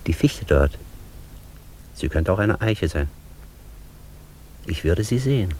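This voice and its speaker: An actor playing a rapt, contemplative scholar, speaking solemnly and declaiming poetically in a radio play. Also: male voice, low-pitched, a little mellow, husky.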